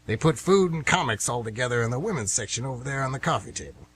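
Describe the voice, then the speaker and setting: A man's voice: medium pitch, animated, quick pace, fairly bright tone, slight background noise, natural voice. An actor playing a folksy, grumbling retired man who rambles and gets defensive, in a radio drama. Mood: mildly defensive. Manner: rambling, folksy, plain-spoken.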